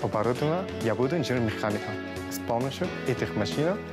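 A young engineering student in his twenties introduces himself in simple, phrasing. He stands in an indoor lab while loud music with guitar plays behind him. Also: medium pitch, lively intonation, fast talker. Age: 20s. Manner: simple, non-native phrasing. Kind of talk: on-camera self-introduction.

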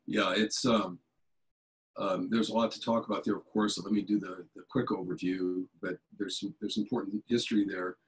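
A man's voice: low-pitched, steady tone, normal speed, low-quality recording. A middle-aged man speaking on a video call from a home office, answering questions in a rambling way with many fillers and restarts. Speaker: keynote speaker, elected official. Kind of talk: conversational video-call interview. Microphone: video call webcam.

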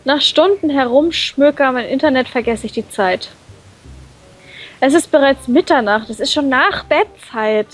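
A woman's voice: high voice, unhurried, rough recording. A playful woman reading a visual novel expressively for a let's play, with casual banter in between. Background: background game music.

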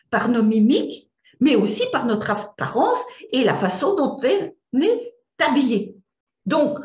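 A middle-aged woman from Belgium with a Belgian French accent, teaching an image coaching lesson over slides, often hesitating and repeating words as she searches for them. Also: high-pitched. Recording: roomy, dull lo-fi sound.

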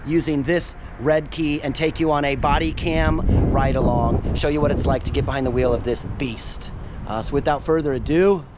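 A man's voice: fairly even intonation, normal speed. A man in his thirties hosting an upbeat promo vlog, talking with punchy enthusiasm into a handheld action camera outdoors and in a car. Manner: enthusiastic, punchy hype delivery. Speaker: sweepstakes host. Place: outdoors in an alley, then inside a car. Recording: loud car engine and road noise. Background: engine rumble and traffic.

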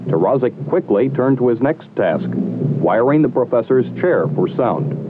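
A man reading scripted narration for a 1960s training film in a formal, measured, slightly wry manner. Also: mid-range voice, big pitch swings, over loud music. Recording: muffled old 1960s film soundtrack.

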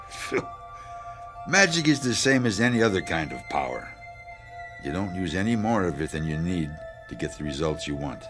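An actor playing a secretly magical janitor in a radio drama, measured, knowing and quietly threatening. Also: male voice, low-pitched, sing-song, over music. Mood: calm menace. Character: secretly magical janitor, controlled, quietly menacing older soul.

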